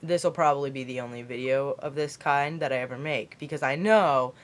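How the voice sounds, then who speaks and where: A teenage girl from Kentucky with a deep voice for a girl, rambling chattily in a late-night vlog from her basement. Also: brisk. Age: teens. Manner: chatty, rambling, lots of fillers and put-on voices. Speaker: vlogger.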